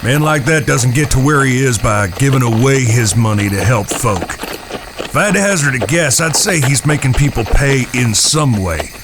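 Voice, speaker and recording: An actor playing a stern, protective eldest brother leading a gang in an Old West audio drama, plainspoken and commanding. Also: male voice, medium pitch, animated, normal speed, natural voice. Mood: firm, dry. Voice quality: gravelly. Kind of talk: audio drama western acting. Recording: noisy track with cricket-filled outdoor ambience.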